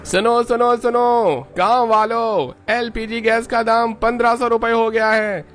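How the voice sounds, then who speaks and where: A man voicing a village gas dealer in a cartoon, calling out a public announcement in drawn-out phrases. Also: mid-range voice, fairly even intonation, a bit bright, a little hiss or hum, lightly acted. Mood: proclaiming.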